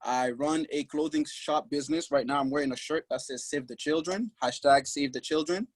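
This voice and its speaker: A man's voice: on the high side, dry recording. A young man from Haiti, a guest on a podcast, speaking earnestly and proudly from his clothing shop over a video call. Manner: earnest and proud, speaks in short halting bursts. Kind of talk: casual interview talk.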